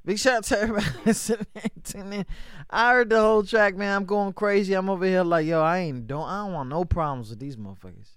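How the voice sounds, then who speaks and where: A man with a high, clear, smooth voice hosts a casual hip-hop radio interview. He talks loosely and with hype, throwing out shout-outs between fillers. The sound is close and dry. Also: fast talker, no room sound. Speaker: radio show host.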